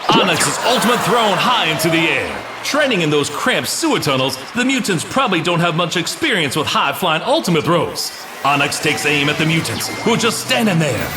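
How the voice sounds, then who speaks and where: An actor playing an excitable veteran sports announcer in a cartoon, calling the play theatrically. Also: male voice, fairly quick, background noise. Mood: excited. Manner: dramatic, theatrical, booming exclamations. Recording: loud music and crowd behind.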